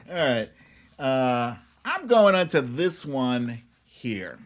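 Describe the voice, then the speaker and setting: A man hosting an online show in a playful, animated, casual style with some swearing. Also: medium pitch, drawn-out words. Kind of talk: casual livestream chat, comic picture-book reading and political commentary.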